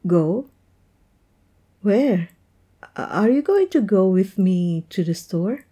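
Woman playing oversensitive young woman, very soft dark mellow tone, slow halting plaintive delivery, intimate relationship roleplay. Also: mid-range voice, animated. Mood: surprised, softening. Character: quirky, oversensitive young woman hurt by a teasing partner. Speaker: roleplay voice performer. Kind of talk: intimate audio roleplay, one-sided dialogue.

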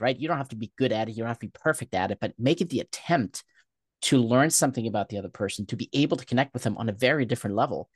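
A man from Germany whose first language is German, hosting a conversational interview in a thoughtful, halting way with many fillers. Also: fairly low pitch, no room sound, clean studio sound. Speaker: podcast host.

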